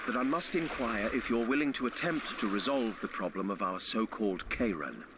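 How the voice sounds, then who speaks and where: A pompous town official with very lively, rising and falling intonation. He is formal and over-polite in game dialogue, with water noise clearly behind him. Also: fairly low pitch, brisk. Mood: officious. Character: fussy, formal, self-important male town official. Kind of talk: video game cutscene acting.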